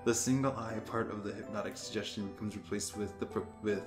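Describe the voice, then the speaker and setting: A man's voice: fairly low pitch, fairly even intonation, fast talker. A man reading aloud in a comedic, casual way, joking and often breaking into laughter as he goes. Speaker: host reading an encyclopedia aloud and commenting. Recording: roomy echo with background music.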